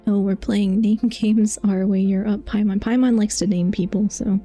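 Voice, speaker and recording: A woman's voice: mid-range voice, steady tone, normal speed, warm tone. A woman chatting casually while livestreaming a game, sometimes reading dialogue aloud. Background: faint game music.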